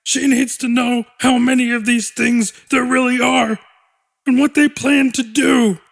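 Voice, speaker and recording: A man's voice: very high voice, little pitch movement, measured pace, vocal fry, pitched above the performer's own voice, heavily acted. A man voicing a small young monster boy in an audiobook, earnest and frightened but resolute, prone to stammering. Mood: grim, urgent.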